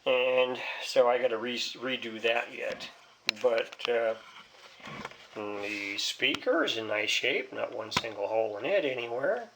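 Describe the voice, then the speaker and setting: A man's voice: mid-range voice, expressive, unhurried, gravelly, noisy background. A man giving a casual, rambling hands-on repair demonstration, thinking aloud in a hesitant, folksy way. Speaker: hobbyist repairing a vintage radio.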